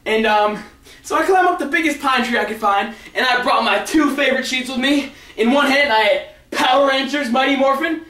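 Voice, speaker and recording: A male storyteller with a notably high voice, heard from a distance in an echoey room. He rambles through comic tales with excitable, dramatic build-ups. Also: a little fast. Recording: roomy echo, far from the mic.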